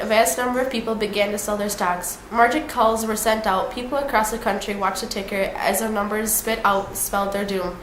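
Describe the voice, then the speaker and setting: A woman reading a scripted mock 1930s newscast as the news anchor. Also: mid-range voice. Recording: echoey room, faint noise.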